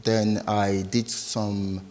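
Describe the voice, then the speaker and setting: A middle-aged man from Lagos with a Nigerian accent, a business founder chatting as an interview guest, hesitant and quick to laugh. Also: fairly low pitch, little pitch movement, darkish tone. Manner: laughs easily, hesitant with many ums. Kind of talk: casual interview chat.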